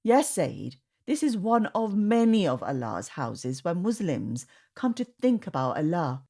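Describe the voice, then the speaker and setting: Woman doing a calm, gentle dad. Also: sits high, measured pace, exaggerated voice. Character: kind patient father teaching his children.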